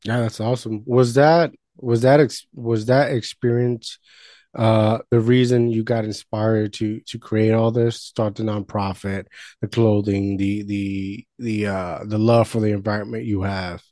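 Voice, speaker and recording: A man who grew up in Puerto Rico, hosting an interview in an enthusiastic but halting way, with many restarts. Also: on the low side, little pitch movement, mellow, no room sound, clean studio sound. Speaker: host, interviewer. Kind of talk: casual interview chat.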